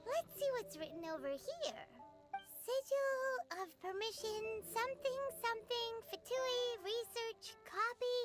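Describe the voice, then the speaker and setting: An actress voicing a tiny, greedy, excitable fairy girl in a video game, with a childlike, chirpy manner. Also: very high voice, unhurried. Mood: eager, curious. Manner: childlike, chirpy, speaks in third person. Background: loud game background music.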